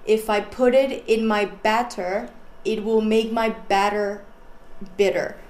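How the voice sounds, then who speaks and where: A woman's voice: mid-range voice, fairly even intonation, takes it slowly, a little mellow. A native Spanish-speaking woman with a slight accent, carefully practising pronunciation as a student in a roomy, noisy classroom.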